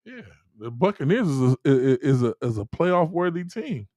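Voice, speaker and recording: A man's voice: a little high, very animated, normal speed, darkish tone, no room sound, studio quality. A man co-hosting a sports talk show, passionately defending his team in a heated debate, stammering and repeating words when worked up. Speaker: co-host.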